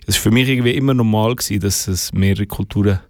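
A man's voice: lowish voice, fast talker, dry recording. A man from Zurich with a Zurich Swiss German accent, a guest singer giving a thoughtful radio interview in a studio. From Zurich, Switzerland.

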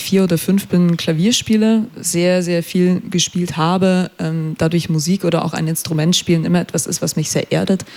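A young man, a performing artist, answering a radio interview thoughtfully, pausing often to find his words. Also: a little high, vocal fry, a little mellow. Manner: thoughtful, searching for words, many fillers. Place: radio studio.

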